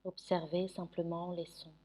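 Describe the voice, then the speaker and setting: A woman guiding a sleep meditation in French, giving soothing, gentle instructions with long pauses. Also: fairly low pitch, level tone. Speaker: yoga nidra meditation guide.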